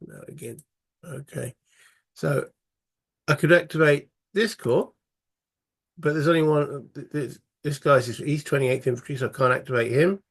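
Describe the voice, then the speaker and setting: A man, croaky from a cold, casually talking through a solo board game and thinking aloud with many fillers. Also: a little high, normal speed, long pauses, fairly bright tone. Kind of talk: casual tabletop playthrough commentary.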